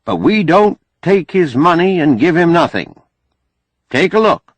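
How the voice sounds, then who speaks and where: A man voicing a folksy, pushy, glad-handing senator who preaches, loud and emphatic, for an audiobook. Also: on the high side, big pitch swings, a little slow, breathy edge, no echo, pitched above the performer's own voice, heavily acted. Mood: boastful, preachy. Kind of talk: audiobook character acting.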